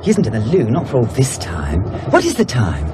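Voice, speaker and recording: An actor playing a fretful, highly strung conspirator, nagging and agitated, in a radio play. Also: male voice, wildly swooping pitch, brisk. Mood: anxious. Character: fretful, panicky conspirator on a train. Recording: loud train noise, distant voice.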